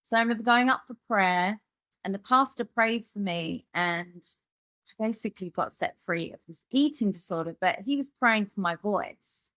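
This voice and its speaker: A woman's voice: medium pitch, normal speed, a bit bright, no room sound. A woman from the United Kingdom, a musician guest in an interview, telling her personal story at length, rambling with many fillers and restarts.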